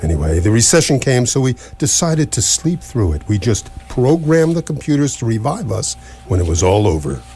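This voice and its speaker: An actor in live radio theatre playing an ancient, absent-minded alien planet-builder, stately and portentous yet mildly apologetic. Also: male voice, medium pitch, very animated, moderate pace, dark tone. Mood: chatty, wistful. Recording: noisy live stage recording with hum.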